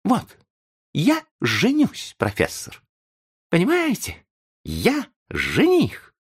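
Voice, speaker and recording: A man's voice: very high-pitched, wildly swooping pitch, unhurried, creaky, voice pushed up, full character voice. A man voicing a distraught, desperate young man in an audiobook story, pleading in agitated exclamations. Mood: agitated, insistent.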